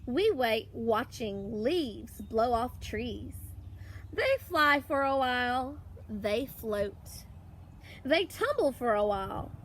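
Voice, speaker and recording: A woman with a high-pitched voice reads a picture book for young children. She goes very slowly, lingering on words and leaving deliberate pauses, and her intonation swings widely in an expressive teacher's storytelling style. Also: a little hiss or hum. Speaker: primary school teacher.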